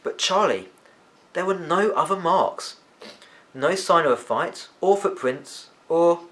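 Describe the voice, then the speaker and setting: A sensible, easygoing schoolboy from a storybook, voiced by a man. His voice sits well up in pitch and rises and falls freely as he questions and reasons with his friend. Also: animated, slow pace, a bit bright. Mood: reasoning.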